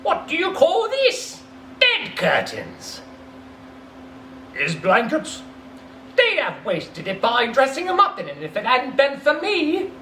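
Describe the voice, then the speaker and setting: Man putting on a shrill, brazen scavenging woman. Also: huge pitch swings, takes it slowly, sharp tone, echoey room, slight background noise, exaggerated voice. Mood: brazen scorn. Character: callous, scavenging woman haggling in a rag shop.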